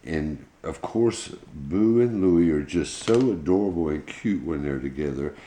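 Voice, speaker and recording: A man's voice: rumbling low, a little slow, dark tone, roomy sound, slight background noise. A man telling an informal children's bedtime story in a folksy, colloquial way. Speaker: pet channel host telling a bedtime story.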